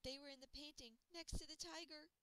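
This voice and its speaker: A woman voicing an adventurous, excitable young girl in a children's storybook, eager and exclaiming. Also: high-pitched, fairly quick, voice pushed up. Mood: awed.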